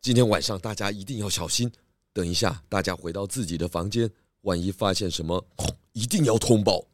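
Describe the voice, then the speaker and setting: A man playing a calm, shrewd pig detective in a children's audio drama, deliberate and authoritative. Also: low voice, no room sound, studio quality, lightly acted. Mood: serious.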